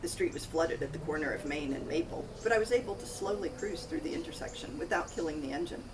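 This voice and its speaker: A woman's voice: deep voice, expressive, fast. A woman author reading first-person narration from her own cozy mystery novel. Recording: distant mic, loud outdoor noise, birds, crickets.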